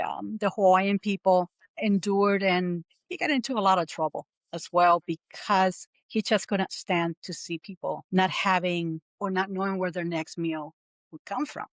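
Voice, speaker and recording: Woman in her fifties, podcast guest; earnest, unhurried storytelling with lots of filler pauses; dry close recording. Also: mid-range voice, dry recording. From Puerto Rico. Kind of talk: podcast interview.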